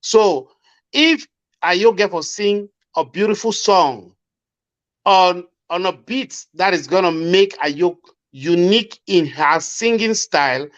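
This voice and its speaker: Panel guest, man, Cameroonian Pidgin accent, pitched a little high, forceful and argumentative, muffled lo-fi audio. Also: expressive, slow pace. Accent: Cameroonian Pidgin English. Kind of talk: heated panel discussion.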